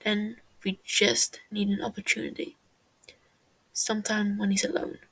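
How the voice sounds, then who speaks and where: A woman voicing a gruff, protective man in an audio story, curt and exasperated. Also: medium pitch, flat delivery, unhurried, a bit bright, poor audio. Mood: matter-of-fact.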